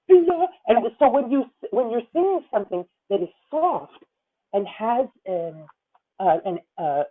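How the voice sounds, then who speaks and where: A woman in her fifties coaches a singer over a video call, and her voice comes through thin and phone-like. She swings her pitch widely, sometimes singing short phrases to show what she means, then drops back to halting, searching talk. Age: 50s. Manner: animated, sings demonstration phrases, halting restarts. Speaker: voice teacher leading a masterclass.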